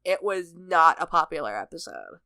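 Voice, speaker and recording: A woman's voice: deep voice, wildly swooping pitch, normal speed, vocal fry, pushed and bright, dry recording, clean studio sound. A woman co-hosting a podcast in a casual, conversational style. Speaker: podcast co-host. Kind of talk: casual chat.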